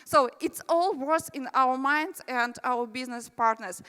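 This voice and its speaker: A woman's voice: sits high, unhurried, a bit bright, clean tone. Russian-accented woman presenting in a hall. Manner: friendly, chatty, with asides to the audience. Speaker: conference presenter. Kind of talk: conference presentation. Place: large conference hall. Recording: reverberant hall.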